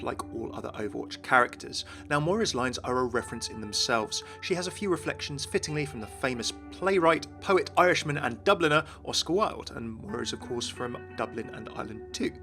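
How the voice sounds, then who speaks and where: A man's voice: medium pitch, animated, fast talker, hardly any pauses. A man presenting game lore as an enthusiastic scripted video narration. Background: music.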